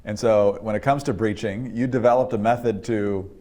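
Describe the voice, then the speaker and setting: A male interviewer with a fairly low voice. He acknowledges each answer briefly and then moves straight to the next question. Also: fairly even intonation, moderate pace, darkish tone.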